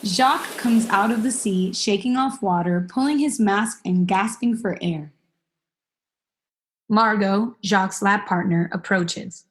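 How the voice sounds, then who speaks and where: A woman's voice: mid-range voice, measured pace. A young woman reading stage directions as scripted narration for a radio play. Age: young adult.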